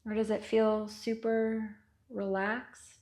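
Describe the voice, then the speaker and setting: A woman guiding a body scan meditation with gentle, unhurried instructions and long pauses. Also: mid-range voice, level tone. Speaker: meditation guide.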